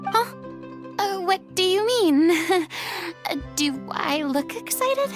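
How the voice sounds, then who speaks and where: A woman's voice: extremely high pitch, lively intonation, extremely slow, over music, full character voice. An actress playing a proud, theatrical young woman, a former goddess, in a video game. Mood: flustered, reflective. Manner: dramatic, pompous, theatrical delivery.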